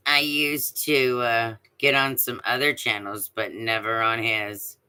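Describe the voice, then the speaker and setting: A woman with a deep voice chatting casually on a live stream, rambling with many fillers and restarts. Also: fairly even intonation, slow, bright, forceful tone, clear, resonant tone, poor audio. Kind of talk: casual chat.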